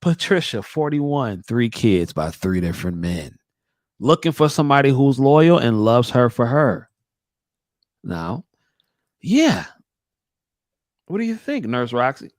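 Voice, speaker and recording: A man's voice: mid-range voice, very animated, slow, pauses often, dry recording, studio quality. A man hosting a talk show, speaking casually in slang and giving his opinions. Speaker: show host.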